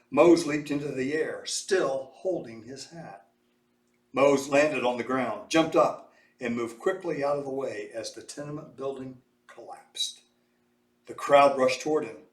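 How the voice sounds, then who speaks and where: Echoey man reading a storybook aloud. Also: mid-range voice. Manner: animated, emphatic storytelling. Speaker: storybook reader. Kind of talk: dramatic read-aloud of a children's story. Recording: echoey room, distant mic.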